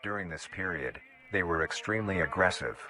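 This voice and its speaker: A man's voice: sits low, lively intonation, a little fast, very noisy. A man reading scripted documentary narration in a formal, grandiose manner. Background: music.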